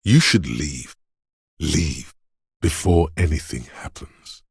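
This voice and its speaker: A man voicing a paranoid, aggressive inner voice of primal fear in a video game, menacing, urgent and ominous, with a gravelly, husky sound. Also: a bass voice, lively intonation, slow pace, a little mellow, no echo, clean studio sound, full character voice. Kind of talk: video game voice acting, second-person dramatic narration.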